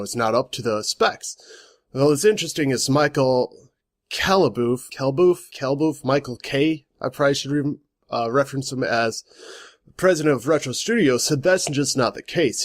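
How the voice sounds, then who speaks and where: Male vlog host, stuffy nasal cold voice, mid pitch, dry close sound, rambling delivery. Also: dry recording. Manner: rambling, self-correcting. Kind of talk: casual gaming news vlog.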